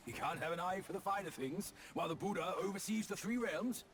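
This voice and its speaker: An actor voicing a cheeky, vain but good-natured pig demon in a video game cutscene, playful and protesting. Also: male voice, high-pitched, fairly quick, fairly bright tone. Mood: defensive, playful.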